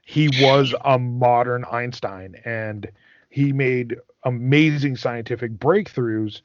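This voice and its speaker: A man's voice: mid-range voice, slow pace, dry recording. Earnest man, dry muffled sound. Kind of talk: casual chat, earnest opinion.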